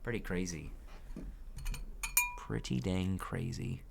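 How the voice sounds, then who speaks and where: A man's voice: low voice, takes it slowly, gravelly, background noise. A man giving casual game commentary as he plays. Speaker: let's player reading a game aloud. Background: game music.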